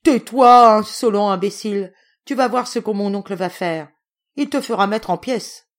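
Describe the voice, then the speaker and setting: Woman acting out a domineering, gushing noblewoman, high-pitched. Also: big pitch swings, normal speed, a little mellow. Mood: furious. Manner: imperious, effusive. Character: domineering, gushing middle-aged Russian noblewoman, scolding and flattering.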